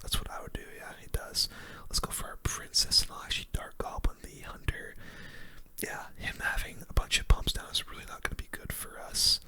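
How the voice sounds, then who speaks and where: A gaming host giving hushed, casual ASMR commentary in a whisper, using relaxed gamer slang. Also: a little fast.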